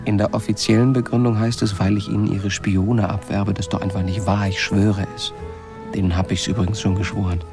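Chatty laid-back colony boss in a radio play, gravelly low voice, music behind him. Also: male voice, flat delivery, brisk. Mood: indignant, resigned. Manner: colloquial, rambling, wry. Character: chatty, easygoing, wry male owner of a space colony. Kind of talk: radio play acting, conversational monologue.

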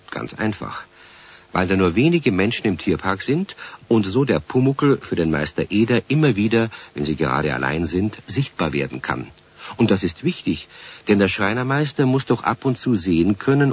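A man narrating a scripted children's story for radio as its storyteller, in a husky voice. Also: low voice. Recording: dull vintage radio recording.

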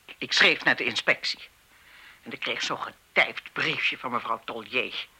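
A woman's voice: extremely low pitch, measured pace, pushed and bright, slightly rough, full character voice. A woman playing an embittered, guilt-ridden retired schoolteacher in a radio play, stern and lecturing in manner. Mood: righteous anger. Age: older. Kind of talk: Dutch radio play acting.

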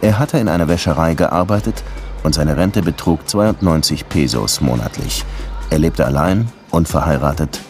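A world-weary Cuban detective with an extremely deep voice. He narrates his case like a radio drama, with music clearly underneath. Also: normal speed. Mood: wry, reflective. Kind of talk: radio play narration and dialogue.

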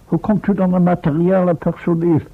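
Pompous, gravelly professor, husky. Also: male voice, sits high, level tone, a little fast, narrow, tinny audio, heavily acted. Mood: sceptical. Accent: Netherlands Dutch. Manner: pompous, exclamatory. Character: indignant, self-important older male professor and inventor. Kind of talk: old-fashioned radio play acting. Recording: thin, band-limited old radio recording.

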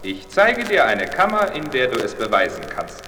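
A man's voice: mid-range voice, very animated, moderate pace, pushed and bright, slight background noise, low-quality recording. A haughty, greedy king gives commands with condescension and wide, sweeping intonation. His exclamations are drawn out in the manner of a fairy-tale radio play. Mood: commanding.

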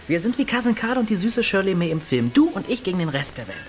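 A man's voice: sits high, sing-song, fast talker, faint music behind. A teenage village boy in a radio play. He is cocky and easily stung, yet smitten underneath. His talk is casual, teasing and full of youthful slang. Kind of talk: naturalistic radio drama dialogue.

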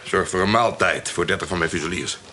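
An actor playing a stern, pompous army captain in a historical radio play, curt and commanding. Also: male voice, low voice, brisk, bright, forceful tone, slight background noise. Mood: stern, imperious. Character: stern, arrogant male army captain requisitioning a house. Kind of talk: Dutch radio play acting.

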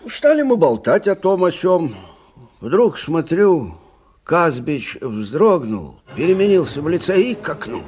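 An actor playing a plainspoken, rueful older army officer who recounts frontier tales conversationally in a radio play. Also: male voice, fairly high pitch, unhurried, husky, heavily acted. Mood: animated storytelling. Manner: conversational, expressive, folksy. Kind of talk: dramatic storytelling in a radio play.

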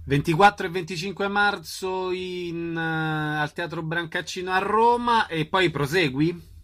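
Slow-paced male radio host, bright voice. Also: high voice. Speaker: radio theatre show host and interviewer. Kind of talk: casual radio interview.

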